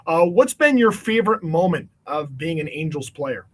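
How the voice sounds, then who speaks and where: A man with a notably high-pitched voice hosts a casual question-and-answer session. He is encouraging and affirming, speaking informally as an interviewer. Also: very animated, moderate pace. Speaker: event host, interviewer. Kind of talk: casual upbeat hosting, reading audience questions.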